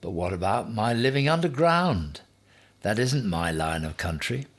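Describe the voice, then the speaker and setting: A man's voice: fairly low pitch, expressive, measured pace, pitched above the performer's own voice. A man voicing a small, timid, polite hedgehog with a plaintive manner in a children's storybook. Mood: meek, polite. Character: small hedgehog brother, timid, polite, doleful little animal.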